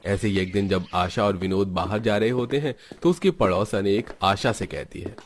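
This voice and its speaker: A man's voice: fairly low pitch, moderate pace, slight background noise. A man reading scripted narration for a family cartoon story.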